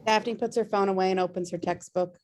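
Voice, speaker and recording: A woman's voice: mid-range voice, fairly even intonation, fairly quick, darkish tone. A woman narrating stage directions at a script table read. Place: remote video call.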